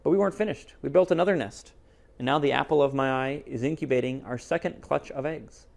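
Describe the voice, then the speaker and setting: A man reads as a devoted father songbird in a storybook. He sounds fond and a little boastful, with a gravelly edge to the voice. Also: medium pitch, a little fast, darkish tone, lightly acted. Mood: proud, fond. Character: proud, devoted father cardinal bird. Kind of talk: children's picture book character reading.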